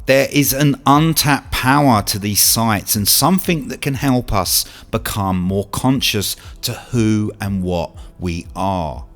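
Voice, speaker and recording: A man's voice: on the low side, slow pace, few pauses, fairly bright tone. A man giving an earnest, portentous scripted narration in the style of a documentary voice-over. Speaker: video narrator and presenter. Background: music.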